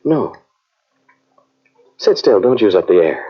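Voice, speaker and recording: Deep-voiced haunted scientist, old radio drama. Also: male voice, big pitch swings, slightly rough, exaggerated voice. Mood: alarmed. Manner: dramatic, halting, brooding delivery. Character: haunted male scientist recounting a terrifying tomb ordeal. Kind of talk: old-time radio drama acting, first-person narration. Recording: dull old cassette radio recording, music behind.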